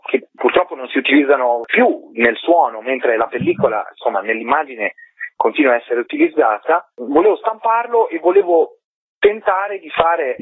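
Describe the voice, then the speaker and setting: A man's voice: mid-range voice, breathy edge, no room sound. A man being interviewed over the phone as a documentary director, explaining his subject in an articulate, discursive and reflective way. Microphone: telephone. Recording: tinny narrow-band phone line.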